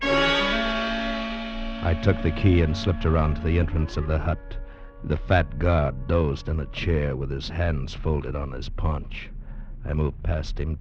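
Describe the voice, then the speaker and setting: A man playing a grave convict narrator in a tropical prison colony, telling his story in measured, dramatic 1940s radio style. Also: rumbling low, exaggerated voice. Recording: dull vintage radio recording with music behind.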